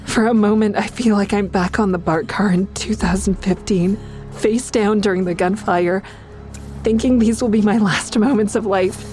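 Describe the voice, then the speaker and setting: An actress playing a frightened woman who tells her own ordeal in an audio drama, with tense, measured storytelling. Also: mid-range voice, steady tone, darkish tone, natural voice. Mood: tense narration. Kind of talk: dramatic first-person narration and dialogue. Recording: music behind the voice.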